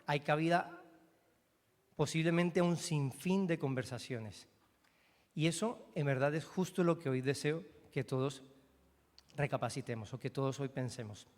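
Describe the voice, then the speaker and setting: A man's voice: on the high side, normal speed, long pauses. A man from Colombia with a Colombian accent, preaching a sermon as pastor, talking conversationally and asking the congregation questions. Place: church hall. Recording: long reverb of a church hall.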